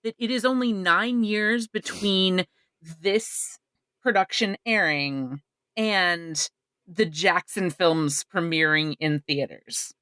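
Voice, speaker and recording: A woman's voice: on the low side, slow pace, pushed and bright, clear, resonant tone, no echo. A woman co-hosting a podcast, giving wry, articulate, wordy commentary in a casual setting. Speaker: podcast co-host. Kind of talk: casual chat with prepared commentary.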